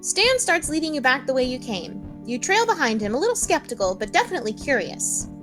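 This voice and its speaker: A woman's voice: high voice, big pitch swings, fairly quick, music in the background. A woman co-hosting a livestreamed game read-along, chatting and reading out the narration. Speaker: co-host reading narration and player lines.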